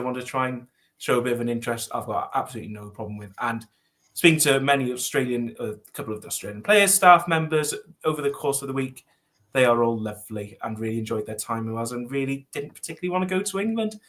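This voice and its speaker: Bright-voiced male pundit, teasing. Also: medium pitch, a little fast. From Wales. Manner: teasing, animated, mock-outraged. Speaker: panel pundit. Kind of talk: casual panel chat.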